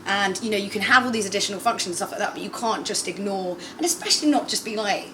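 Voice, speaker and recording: A young woman chatting as a podcast guest, telling stories in a lively, animated way. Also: medium pitch, quick pace, a little hiss or hum, poor audio. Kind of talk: casual chat.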